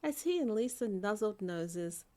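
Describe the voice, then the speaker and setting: A woman narrating a bedtime story for children in an expressive storytelling manner. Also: medium pitch, unhurried. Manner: expressive storytelling, character voices for dialogue.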